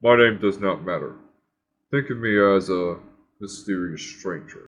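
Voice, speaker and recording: A man playing a guarded, authoritative wasteland governor in a game cutscene, with a measured, commanding, cryptic manner. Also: deep voice, fairly bright tone. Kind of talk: scripted game cutscene dialogue.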